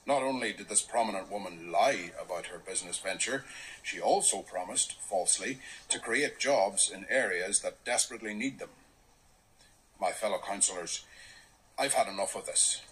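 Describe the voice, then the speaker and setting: An actor in a radio drama playing an earnest male local councillor with a deliberate, formal way of speaking. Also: lowish voice, fairly bright tone, slightly rough, poor audio. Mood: solemn, indignant. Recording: faint noise, played back through a speaker.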